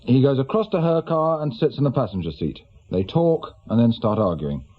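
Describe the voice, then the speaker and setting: Man as senior English detective for radio play: methodical, authoritative, mid-pitched, slightly dull recording. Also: expressive, lightly acted. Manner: measured, authoritative, deliberate. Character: brisk, methodical senior police inspector reasoning out a case. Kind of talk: naturalistic British radio drama acting.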